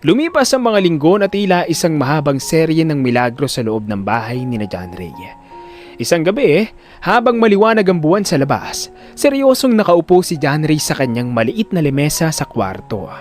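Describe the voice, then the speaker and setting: A man's voice: fairly high pitch, expressive, normal speed, a little mellow, faint music behind. A man narrating a scripted audio drama in a dramatic storytelling style.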